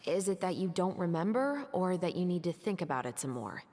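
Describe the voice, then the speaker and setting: A woman's voice: fairly low pitch, expressive, moderate pace, a little mellow, a little hiss or hum, close to an everyday voice. A woman playing a composed, shrewd young patrol captain in a video game, firm and dryly persuasive. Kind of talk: scripted video game dialogue. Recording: long reverb, music underneath.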